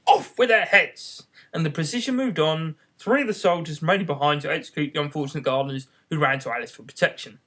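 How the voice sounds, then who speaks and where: A man reading a children's classic aloud in an expressive storybook narration style. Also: fairly high pitch, quick pace, few pauses. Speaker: audiobook reader.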